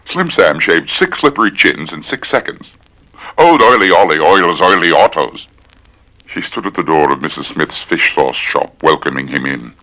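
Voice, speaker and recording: A man with a low, bright voice performs as a theatrical reciter. His pitch swings widely and every word is dramatically and precisely enunciated, with a forceful edge. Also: animated, moderate pace, poor audio. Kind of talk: theatrical tongue-twister recitation.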